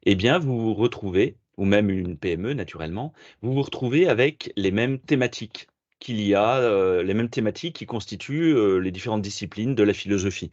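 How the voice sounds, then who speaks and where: A middle-aged French man being interviewed as a philosopher, talking in a measured, reflective way with frequent hesitations. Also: lowish voice, animated, a little mellow, no echo, lo-fi sound. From France. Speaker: podcast guest, philosopher and management consultant. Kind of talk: interview answers.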